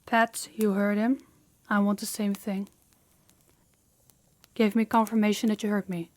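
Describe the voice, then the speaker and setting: A woman playing a dominant, stern mafia boss in an audio roleplay, speaking formally and deliberately with authority. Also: mid-range voice, flat delivery, darkish tone, no echo, close to an everyday voice. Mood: composed, businesslike.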